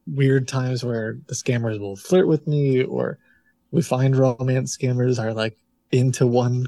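A man answering interview questions in a relaxed, thoughtful way, often laughing. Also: mid-range voice, vocal fry, no echo. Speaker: scam-baiting streamer, guest.

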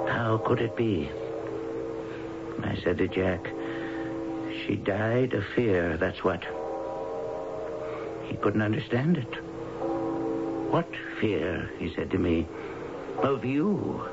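A man's voice: lowish voice, lively intonation, slow, creaky, long pauses, loud music behind the voice, heavily acted. An actor playing a weary, grieving older father in a radio drama. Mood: sorrowful, weary. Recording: muffled old radio drama broadcast.

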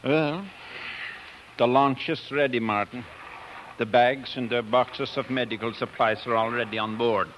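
Medium-pitched male actor as a grave, advising colleague, 1940s radio drama, faint noise. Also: steady tone, a little slow, dull audio, natural voice. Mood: grave, calm. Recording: noisy 1940s radio broadcast.

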